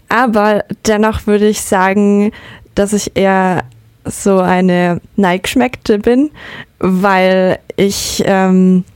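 A woman's voice: medium pitch, a little mellow, smooth, dry recording. A young woman whose first language is Russian, co-hosting a casual podcast chat and talking with many hesitations. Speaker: podcast co-host.

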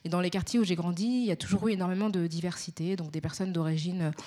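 A young woman with a pronounced Parisian accent, answering interview questions in a measured, articulate way with frequent hesitations. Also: fairly low pitch, fairly even intonation, dark tone. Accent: Parisian French. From Paris, France. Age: young adult.

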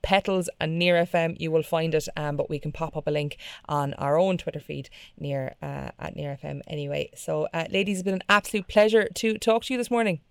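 A woman's voice: deep voice, steady tone, fairly quick, gravelly, smooth, dry recording. A woman hosting a conversational radio interview.

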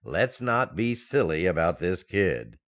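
An actor playing a naive, excitable expectant husband in an old-time radio sitcom, earnest and flustered. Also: male voice, low-pitched, a little slow, muffled recording. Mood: earnestly stubborn.

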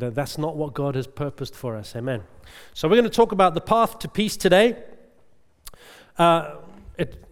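A middle-aged man preaches in a relaxed, conversational way, often asking the congregation for an "amen." His voice is smooth and resonant and sits a touch high. The sound carries the long reverb of a large church hall. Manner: chatty, checks in with the congregation with "amen?". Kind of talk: conversational sermon.

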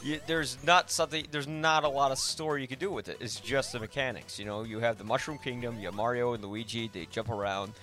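A man's voice: medium pitch, fairly even intonation, quick pace, hardly any pauses, pushed and bright. A man hosting a podcast, discussing films casually and conversationally as he thinks aloud. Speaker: podcast host.

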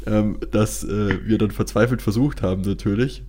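A man's voice: on the low side, fairly even intonation, normal speed, dark tone, a little hiss or hum. A young man, telling anecdotes casually as a podcast host.

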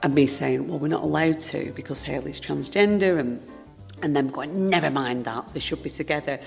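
A woman's voice: deep voice, normal speed, creaky, soft background music. A woman telling a story as an interview guest. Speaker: actress guest. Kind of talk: anecdote in an interview. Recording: reverberant, distant sound.

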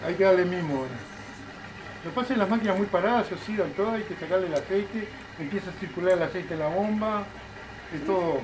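A person quickly showing someone how to work a sewing machine, in casual instructive talk. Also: mid-range voice, noisy background. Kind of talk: casual explanation. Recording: loud crowd chatter behind.

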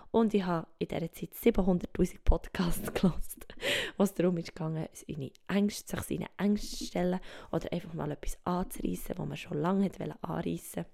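A Swiss woman speaking German with a Swiss German accent, hosting a reflective solo podcast, thinking aloud softly and often dropping to a whisper. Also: on the low side, steady tone, fairly quick, vocal fry. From Switzerland. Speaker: podcast host. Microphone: home microphone.

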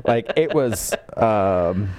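A man's voice: on the low side, lively intonation, extremely slow, darkish tone, no room sound. A man co-hosting a podcast, giving a casual comedic book recap, sarcastic and mockingly acting out the characters' lines. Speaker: podcast co-host.

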